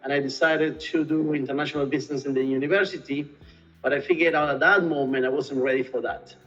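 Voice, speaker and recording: Argentine man, Spanish-accented English, halting with fillers; dull lo-fi sound, faint music underneath. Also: a little high, steady tone, fairly bright tone. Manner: hesitant, many fillers and restarts. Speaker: real estate sales and marketing director. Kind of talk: interview answers.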